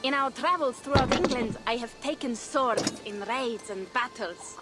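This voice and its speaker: A bold Norse warrior woman played by an actress in a game drama. Her voice sits fairly high and she speaks persuasively in old-fashioned, formal phrases, with clear music underneath. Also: unhurried, lightly acted. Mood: proud, eager. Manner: declarative, archaic formal phrasing. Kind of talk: dramatic video game acting.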